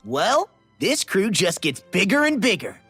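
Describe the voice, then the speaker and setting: Game role: a casual young sidekick, highish husky voice, unhurried, big pitch swings. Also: male voice, sing-song, a bit bright, no room sound, faint music behind, exaggerated voice. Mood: upbeat, wry. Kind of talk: video game cutscene acting.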